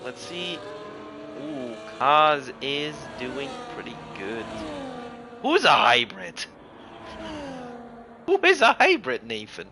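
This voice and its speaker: Guy from europe commentating online races live, sharp bright voice, jokey teasing banter, bit of noise behind him. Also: mid-range voice, huge pitch swings, takes it slowly, long pauses. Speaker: sim racing league commentator and livestream host. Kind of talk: casual live race commentary mixed with chat banter.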